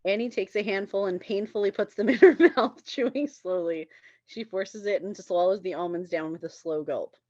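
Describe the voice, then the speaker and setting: A woman's voice: mid-range voice, moderate pace, warm tone, no echo. Dry, dull-sounding woman reading stage directions. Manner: giggly, often breaking into laughter. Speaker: stage directions reader at a script table read. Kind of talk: scripted narration, reading screenplay aloud.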